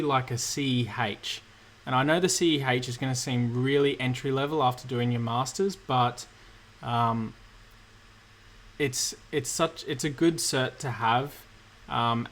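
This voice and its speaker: A man, slightly croaky from illness, hosting a relaxed live-stream Q&A and answering chat questions with many fillers. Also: medium pitch. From Australia. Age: 30s. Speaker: cybersecurity streamer host.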